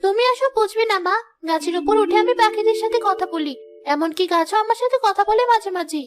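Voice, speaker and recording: A woman's voice: extremely high pitch, a little fast, faint music behind, voice pushed up. A woman voicing a playful, stubborn fifteen-year-old girl with a childlike, pleading manner. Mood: pouting.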